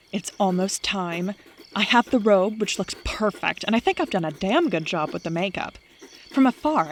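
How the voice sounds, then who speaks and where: A woman's voice: medium pitch, a little hiss or hum, lightly acted. A woman acting a skeptical, sarcastic young prankster in an audio drama, with a dry delivery. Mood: conspiratorial. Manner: dry, sardonic.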